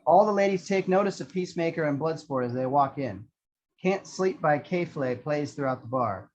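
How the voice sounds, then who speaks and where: A man's voice: on the high side, expressive, moderate pace, a little mellow, a little hiss or hum. A man reading out screenplay action descriptions as the narrator of a table read. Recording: slightly dull lo-fi microphone.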